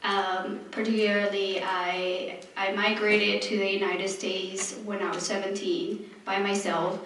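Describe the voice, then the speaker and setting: A woman from Mexico with a Mexican accent, a native Spanish speaker on a panel, speaking earnestly with many pauses and ums. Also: medium pitch, level tone, a bit bright. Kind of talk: earnest personal account on a panel. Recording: echoey hall, far from the mic, faint noise.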